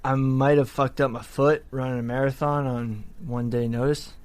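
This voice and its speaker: An exhausted man with an average-pitched voice joins casual podcast banter. He sounds muddled and halting after no sleep. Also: fairly even intonation, a little mellow. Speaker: podcast crew member.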